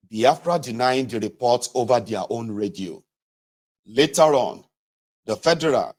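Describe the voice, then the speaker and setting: A man reading a first-person memoir aloud in measured phrases, with emphatic pauses. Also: mid-range voice. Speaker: reader of a political memoir.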